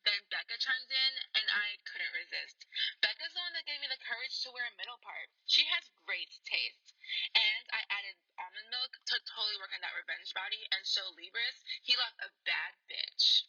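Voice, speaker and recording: A woman's voice: medium pitch, animated, normal speed, bright, forceful tone, slightly rough, no echo, far from the mic, muffled recording, full character voice. A woman playing a vain, dramatic young student in a radio play, talking in filler-heavy valley-girl style. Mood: breezy, smug.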